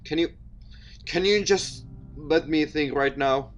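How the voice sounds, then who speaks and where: A man voicing a troubled, prickly young man in a visual novel, halting and defensive as he speaks. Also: on the high side, dull audio, slight background noise. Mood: strained, curt. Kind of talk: visual novel dialogue read aloud.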